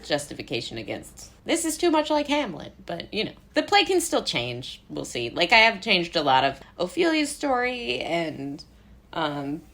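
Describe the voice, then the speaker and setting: Low-pitched American woman chatting casually. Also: sing-song, normal speed, a bit bright. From Brooklyn, United States. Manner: informal, rambling, self-deprecating, amused. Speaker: interview guest, playwright. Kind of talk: casual interview chat.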